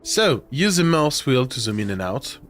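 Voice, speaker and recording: A young man streaming a video game, talking casually with fillers and swearing, his voice rough and congested from a nasty cold. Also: medium pitch, sing-song, moderate pace, a bit bright, faint music behind. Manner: chatty, swearing, self-mocking, with filler sounds. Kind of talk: casual live-stream chat.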